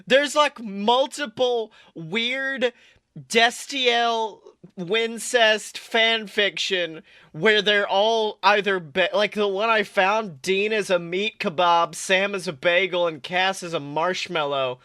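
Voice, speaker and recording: Bright-voiced young man, swearing and animated. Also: sits high, slow pace, smooth, no echo, studio quality. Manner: swears freely, laughs often, animated. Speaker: podcast co-host. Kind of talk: casual chat and reading fan fiction aloud.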